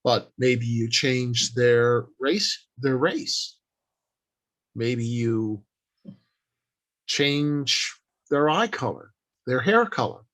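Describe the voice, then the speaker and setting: A man giving an instructional talk as a workshop speaker, deliberate and emphatic, speaking in short declarative phrases with pauses. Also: medium pitch, animated, a bit bright.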